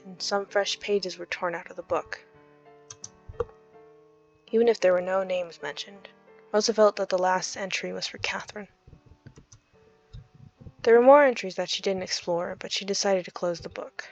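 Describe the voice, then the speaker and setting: A woman's voice: mid-range voice, creaky, lo-fi sound. A woman reading a visual novel aloud as a dramatic let's play narrator. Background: faint game music.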